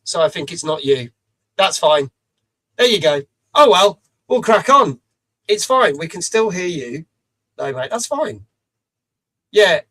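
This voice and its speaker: A middle-aged man with a rough voice, hosting a live stream, chatty and reading out viewers' messages with casual swearing. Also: high-pitched, a bit bright. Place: small home studio.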